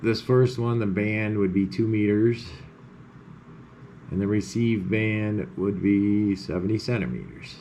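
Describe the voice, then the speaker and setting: Low-voiced man giving a casual tutorial. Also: measured pace, warm tone. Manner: informal, explaining step by step. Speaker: amateur radio tutorial host. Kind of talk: unscripted screen-share software walkthrough. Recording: clearly noisy background.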